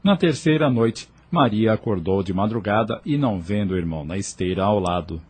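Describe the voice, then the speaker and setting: A man reading scripted narration for an audio drama in a measured storytelling manner. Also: on the low side, expressive, breathy edge.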